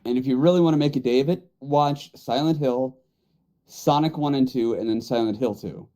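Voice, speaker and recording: A man's voice: mid-range voice, normal speed, dark tone. A man co-hosting a podcast, talking casually and giving his opinions. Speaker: podcast co-host. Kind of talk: casual group chat.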